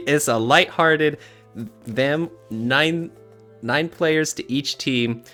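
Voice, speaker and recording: A man's voice: medium pitch, fairly bright tone. A man with a middling voice hosts a casual podcast. He speaks in a playful, joking manner while music plays behind his voice. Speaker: podcast host. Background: background music.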